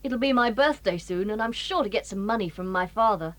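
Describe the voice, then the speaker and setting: A woman's voice: medium pitch, lively intonation, fairly quick, dry recording, natural voice. An actress playing a sensible, organised eldest boy who takes charge of the group. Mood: planning.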